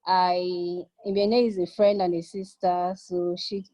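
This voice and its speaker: A woman's voice: on the low side, little pitch movement, a little slow, dark tone, rough recording. A woman from southern Nigeria, a lawyer and entrepreneur, introducing herself in a friendly, chatty way on a video call. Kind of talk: self-introduction.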